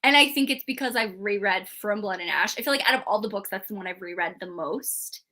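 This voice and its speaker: A woman co-hosting a book discussion, chatting casually in an animated, emphatic, informal way. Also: medium pitch, a little fast. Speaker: book review show co-host. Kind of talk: casual chat about books.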